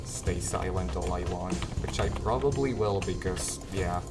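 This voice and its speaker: A man giving an off-the-cuff video game walkthrough in a low-key, hesitant way, often trailing off. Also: unhurried, over loud music. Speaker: gamer giving a guide.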